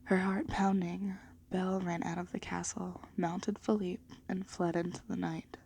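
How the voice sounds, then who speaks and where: A woman reading a children's storybook in a gentle, hushed ASMR style, dropping into whispers. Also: lowish voice, flat delivery, measured pace, gravelly, slight background noise. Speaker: ASMR reader.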